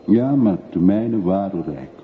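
An actor playing a reserved, reflective middle-aged emigrant returning home in a radio play, speaking in a measured, reticent way. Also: male voice, deep voice, lively intonation. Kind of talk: radio drama acting. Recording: muffled, noisy old radio play recording.

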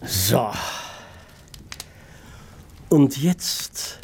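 An actress playing a coldly soothing woman poisoner in a radio drama, coaxing with false gentleness and long pauses. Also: extremely low pitch, expressive, slightly rough, exaggerated voice. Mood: soothing menace.

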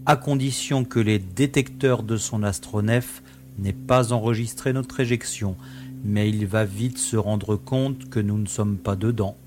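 A man's voice: mid-range voice, darkish tone, lightly acted. A man playing a practical, wry space adventurer in a science-fiction audio drama, with a matter-of-fact delivery.

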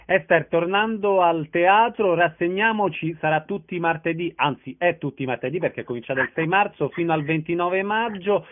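A man's voice: high voice, moderate pace, hardly any pauses. A man hosting a casual radio interview, asking questions in a conversational way. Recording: dull band-limited radio phone-in audio.

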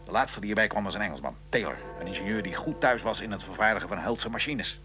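Actor as deep, animated revolutionary plotter. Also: male voice, music in the background, natural voice. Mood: fervent, proud. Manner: theatrical, emphatic, measured storytelling. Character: proud Italian revolutionary assassin, 19th century, recounting his plot. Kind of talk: radio drama acting, interview-like dialogue.

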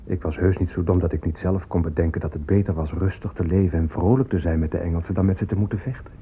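An actor playing a grave leader recounting conquest in deliberate radio drama monologue. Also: male voice, low-pitched, steady tone, breathy edge. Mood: puzzled, reproachful. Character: grave man recounting conquest, warrior or chief. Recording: tinny, phone-like old radio recording.

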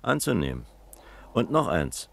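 An actor playing a sober, methodical police investigator in a radio crime play, terse and businesslike. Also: male voice, sits low, sing-song, a little slow, gravelly, a bit bright, no room sound, full character voice. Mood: reporting.

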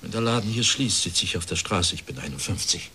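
A man's voice: low-pitched, expressive, fairly quick, sharp tone, a little hiss or hum. An actor playing a resigned factory director in his fifties, speaking formally and plaintively in a radio drama. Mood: bitter, resigned. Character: bitter, resigned plump factory director of fifty-one.

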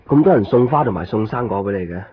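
A man's voice: lowish voice, lively intonation, fast, natural voice. An actor playing a polite, brooding male hospital patient in a radio drama. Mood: polite, hesitant. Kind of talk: radio drama acting with first-person narration. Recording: muffled 1990s radio drama, faint music.

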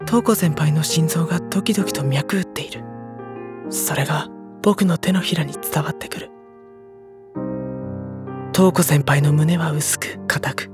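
A woman playing a sensitive, earnest teenage schoolboy in an audio drama, soft-spoken, sincere and introspective. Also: on the low side, natural voice. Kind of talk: Japanese audio drama acting and narration. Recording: music behind the voice.

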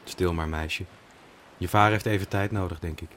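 A calm, kindly villager. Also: male voice, low-pitched, moderate pace.

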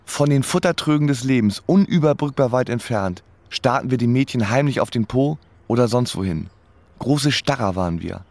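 A man's voice: medium pitch, expressive, normal speed, a little mellow, no echo, close to an everyday voice. An actor playing a wry band musician who narrates his shabby past in ornate, mock-literary wording in a radio play. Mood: wry, reflective.

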